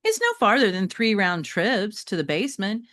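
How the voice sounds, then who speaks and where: Woman voicing an eager, pleading dog, pitched up. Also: wildly swooping pitch, moderate pace, a bit bright, no room sound, clean studio sound. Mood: coaxing, pleading. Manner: urgent, coaxing. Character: eager pleading robot dog, coaxing and pushy. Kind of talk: children's novel read-aloud.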